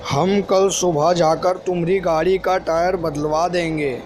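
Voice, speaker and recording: High-pitched young villager, acted by a man. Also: fairly even intonation, a little slow, darkish tone, slight background noise, natural voice. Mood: earnest, worried. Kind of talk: cartoon story dialogue.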